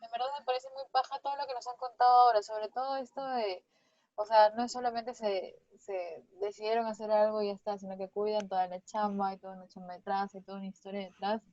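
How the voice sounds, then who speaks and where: A woman's voice: medium pitch, little pitch movement, fast, darkish tone, dry recording. A woman with a Peruvian accent co-hosting a casual interview, chatting in an informal, enthusiastic way. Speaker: interview co-host. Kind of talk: casual chat. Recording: dull, dry online call audio.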